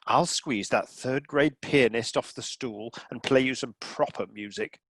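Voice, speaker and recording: A man's voice: medium pitch, unhurried, fairly bright tone, slightly rough. A man playing a camp, wounded and snobbish classical pianist in a comedy radio play. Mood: mischievous.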